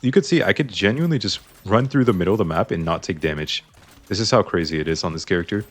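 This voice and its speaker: Chatty low-voiced man explaining gameplay. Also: animated, fast talker. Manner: chatty, explains choices while playing. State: slightly strained voice. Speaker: gaming YouTuber. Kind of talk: casual game commentary.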